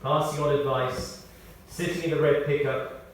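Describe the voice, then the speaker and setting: A man's voice: a little high, steady tone, moderate pace. British man giving a tribute, very echoey. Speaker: eulogist reading a tribute. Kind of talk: scripted memorial tribute with humorous anecdotes. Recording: very echoey, distant mic, faint noise.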